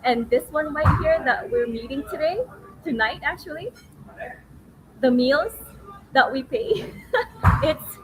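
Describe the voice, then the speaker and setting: High-voiced woman chatting over loud background noise. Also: slow pace, long pauses. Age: 40s. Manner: chatty, giggly, animated storyteller. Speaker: livestream host, online business owner. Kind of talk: casual livestream chat. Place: restaurant, waiting for food. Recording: noisy ambience of a meal venue.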